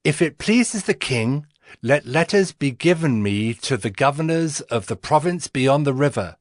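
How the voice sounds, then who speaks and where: A man's voice: fairly high pitch, animated, moderate pace, no room sound, clean studio sound, lightly acted. A man playing a devout, earnest cupbearer in a dramatized audio Bible, solemn and reverent in his delivery. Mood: earnest, respectful. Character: Nehemiah, devout earnest cupbearer to an ancient king. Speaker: narrating lead in dramatized scripture. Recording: music behind the voice.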